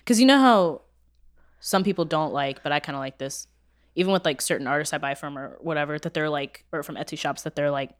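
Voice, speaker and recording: Fast-talking woman, casual chat. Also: deep voice. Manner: fast, animated, says "like" a lot. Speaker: podcast co-host.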